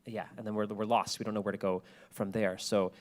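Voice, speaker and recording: A man's voice: fairly low pitch, level tone, a little fast. A man giving a public talk to an audience, speaking emphatically and repeating key phrases.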